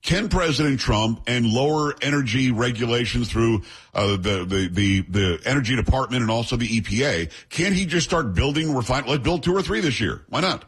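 A talk radio host presses his guest with quick, pushy questions and animated asides. His voice sits at a middle pitch with a husky edge, and he comes across through a radio broadcast. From New York, raised in Florida.

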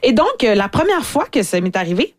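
A woman's voice: fairly high pitch, very animated, brisk, no echo, studio quality. A woman from Quebec with a Quebec French accent, a guest telling personal anecdotes in a wry, self-deprecating, casual way and acting out remarks. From Quebec, Canada. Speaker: radio guest, stage performer. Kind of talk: comic personal storytelling in casual chat.

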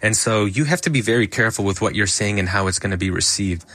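A man's voice: lowish voice, fast, pushed and bright, dry recording. A man with an American accent, a physician giving answers as an interview guest. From United States.

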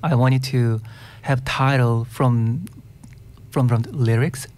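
A male singer-songwriter with a Korean accent, a native Korean speaker, talks in an interview at a very slow pace. His pitch is average for a man. He hesitates and searches for words as he answers casually. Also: fairly even intonation, a little mellow. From South Korea. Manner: hesitant, searching for words in non-fluent English.

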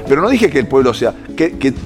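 Argentine man, sports panelist, quick and animated, music under the studio talk. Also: medium pitch. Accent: Argentine Spanish. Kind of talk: lively studio sports debate.

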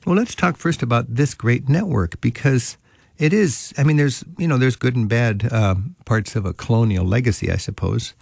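Gravelly older man hosting an interview. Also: medium pitch, normal speed, darkish tone, no room sound, studio quality. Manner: enthusiastic, reminiscing, thinks aloud with restarts. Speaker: travel radio show host. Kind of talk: conversational interview.

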